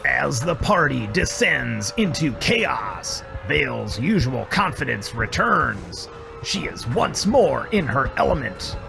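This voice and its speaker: A man's voice: mid-range voice, sing-song, slow pace, vocal fry, over music, exaggerated voice. A man playing a grave, theatrical announcer narrating a melodramatic radio serial with suspense. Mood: suspenseful.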